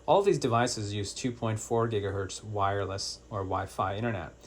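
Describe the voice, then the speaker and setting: A man's voice: fairly low pitch, moderate pace, a little hiss or hum. A man presenting an informal step-by-step product tutorial in a plain-spoken, hands-on, instructional way.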